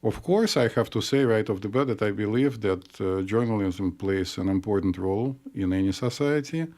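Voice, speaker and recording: Russian-accented male doctor co-host, lowish mellow voice, thoughtful explainer. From Soviet Union. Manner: measured, explanatory, frequent uh fillers. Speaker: psychologist podcast co-host. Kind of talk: casual podcast discussion.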